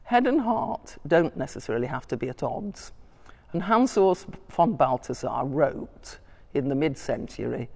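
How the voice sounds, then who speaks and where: An older woman giving a scholarly talk from her notes, measured, with small hesitations and asides. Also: sits low, a little mellow. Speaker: lecturer. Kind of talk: public talk reading from notes. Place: large church interior. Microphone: distant room mic. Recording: long church reverb.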